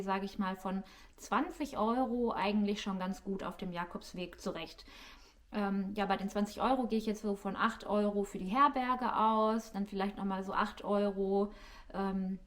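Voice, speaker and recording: A woman giving a casual, friendly explainer as a vlogger, searching for her words as she speaks directly to viewers. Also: mid-range voice. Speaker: YouTube vlogger. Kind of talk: casual informal explainer to camera. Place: living room.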